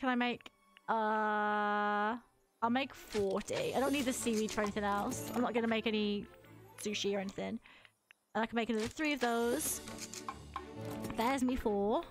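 A woman's voice: on the high side, fairly even intonation, moderate pace, long pauses, over music. A woman in her thirties with a mild cold, chatting casually to herself and her chat while playing a game at home on a headset. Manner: chatty, self-talking, giggly asides. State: has a mild cold, a little tired. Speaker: livestreamer. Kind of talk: casual gameplay commentary.

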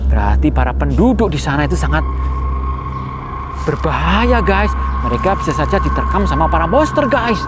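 High-pitched, exclamatory Indonesian man. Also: noisy background. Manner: exclamatory, theatrically amazed. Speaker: toy video host. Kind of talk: excited playful narration for children. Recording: music laid loudly over the voice. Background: loud music.

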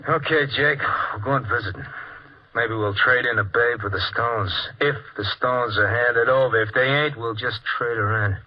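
An actor playing a curt, sarcastic crime boss who threatens in clipped gangster slang, 1950s radio drama style. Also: male voice, medium pitch, normal speed, natural voice. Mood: curt, commanding. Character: hard-boiled male crime boss, curt, sneering, threatening. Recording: old noisy muffled radio recording.

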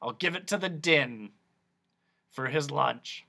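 Eccentric male quack doctor, theatrical and lecturing, high and loud, voiced by a man reading. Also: slow, gravelly, full character voice. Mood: explaining. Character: fussy eccentric male noise doctor, showman.

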